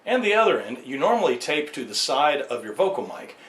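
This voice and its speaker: A man's voice: medium pitch, very animated, a little fast, fairly bright tone. A man giving a casual hands-on music gear tutorial in a conversational, lightly wry way. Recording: echoey room, faint background noise.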